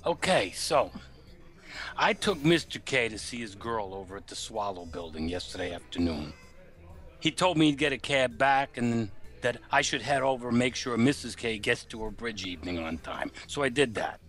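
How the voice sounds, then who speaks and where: An actor playing an uneasy working-class chauffeur in a noir radio play, confiding in colloquial, hesitant speech. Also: male voice, mid-range voice, lively intonation, moderate pace, a bit bright, close to an everyday voice. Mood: uneasy, recounting.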